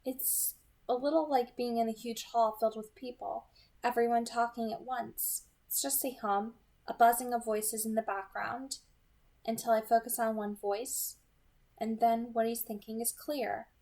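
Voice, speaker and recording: A woman's voice: a little high, fairly even intonation, unhurried, darkish tone, pitched above the performer's own voice. A woman voicing a teasing, guarded young supernatural woman in a novel read-aloud, with a casual, explaining manner. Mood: casual, thoughtful.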